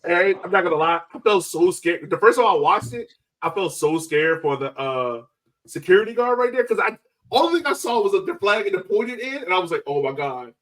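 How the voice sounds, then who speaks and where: A man chatting casually and excitedly in slangy speech as a soccer fan podcast co-host. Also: sits high, a little fast. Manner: animated, slangy.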